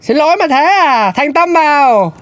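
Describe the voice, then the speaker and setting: A woman's voice: high voice, lively intonation, moderate pace, no room sound. A woman playing a sulky, teasing middle-aged husband and father.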